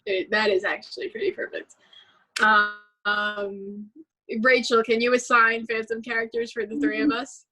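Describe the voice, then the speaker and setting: Young woman host, slow and rambling, bright tone, casual filler-heavy chat. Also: on the high side, little pitch movement, rough recording. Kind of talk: casual chat about musicals.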